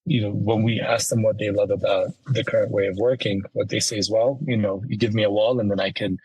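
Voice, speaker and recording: A man from Ethiopia, a company founder, answering interview questions in a measured, explanatory way. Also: fairly low pitch, steady tone, a little mellow, husky, no echo, dull audio. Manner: measured, explanatory, uses "you know" and "right?". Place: home office in Ethiopia, remote call.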